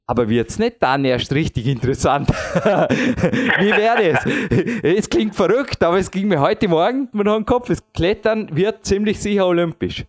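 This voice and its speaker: A man's voice: high-pitched, animated, normal speed. A man in his late thirties talking at length in a casual chat, rambling and self-deprecating with frequent chuckles. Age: 30s. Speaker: podcast host and competitive climber.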